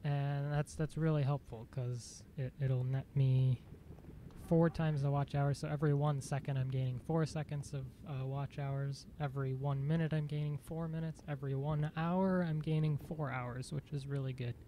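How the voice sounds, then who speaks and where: A man streaming a game, chatting casually in a dry, monotone voice, rambling with fillers and self-corrections. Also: mid-range voice, normal speed, slight background noise. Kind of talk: casual live-stream chat.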